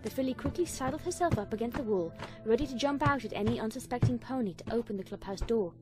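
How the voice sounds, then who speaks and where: A woman's voice: on the high side, expressive, fast, music in the background. A woman reading a scripted story as a dramatic fan fiction narrator.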